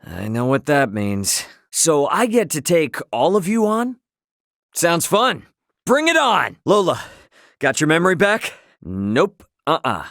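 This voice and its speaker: A man's voice: fairly high pitch, wildly swooping pitch, slow pace, a bit bright, no room sound, studio quality, exaggerated voice. A man playing an easygoing, cocky young adventurer hero in a video game, speaking casually and wryly. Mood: fired up. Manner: casual, colloquial, wry. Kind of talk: acted video game dialogue.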